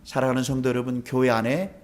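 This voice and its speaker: A man's voice: medium pitch, little pitch movement, fast talker. A man from South Korea preaching as a pastor, conversational and emphatic, with rhetorical questions and repeated key phrases. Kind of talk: sermon with rhetorical questions and anecdotes.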